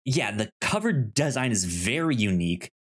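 A man's voice: on the low side, sing-song, normal speed, slightly rough. A man co-hosting a podcast in a casual, chatty style, often stammering on the first syllables of words. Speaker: podcast co-host. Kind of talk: casual chat, pitching comics and reading blurbs aloud.

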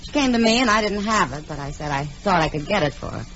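A woman's voice: fairly low pitch, lively intonation, fast talker, a bit bright. A woman playing a frank, wary, worldly American frontier saloon woman, speaking directly in a radio Western. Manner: direct, plain-spoken. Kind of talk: old-time radio Western acting. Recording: muffled, faintly noisy vintage radio recording.

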